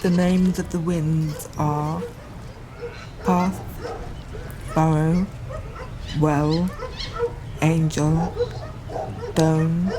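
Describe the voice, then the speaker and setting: A middle-aged or older woman, a poet, reading and reflecting in a halting, thoughtful manner. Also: sits low, flat delivery, noisy background. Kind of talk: poetic reading and reflective documentary talk.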